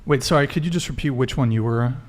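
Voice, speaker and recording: Casual male talk show host questioning guests. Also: mid-range voice. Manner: quick follow-up questions, repeats answers back.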